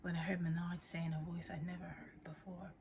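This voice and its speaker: Actress as a hushed, haunted woman confiding. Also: on the low side, flat delivery, slight background noise, lightly acted. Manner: hushed, breathy, measured, confiding. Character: haunted woman confiding a tale of illicit passion. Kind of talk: intimate dramatic storytelling monologue.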